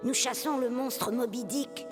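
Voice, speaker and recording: Young ship's boy for animation, actress: timid, innocent, unhurried, light high voice, music underneath. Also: lightly acted. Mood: dazed wonder. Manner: hesitant, wondering. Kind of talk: French cartoon dub acting.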